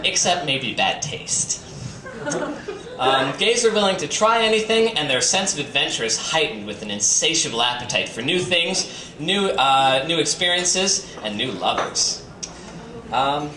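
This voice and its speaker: A man giving a theatrical live reading with joking asides and campy, playful emphasis, as a reader at a comedy night. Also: a little high. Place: bistro venue in front of an audience. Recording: roomy, distant, noisy live stream capture.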